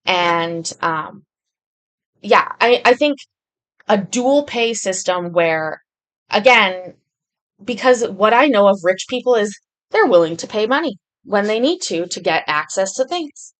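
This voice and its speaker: A woman's voice: medium pitch, animated, unhurried. A woman from Britain hosting a live stream in casual, rambling chat, reading and answering viewer comments with lots of ums and restarts.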